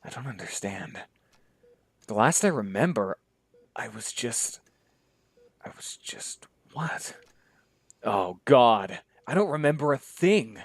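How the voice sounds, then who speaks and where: A man's voice: medium pitch, very animated, measured pace, no echo. Man playing a dazed, wry young medic. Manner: wry inner monologue, drifts into hushed whispers. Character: dazed, sardonic young male medic waking up. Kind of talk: one-man voice acting.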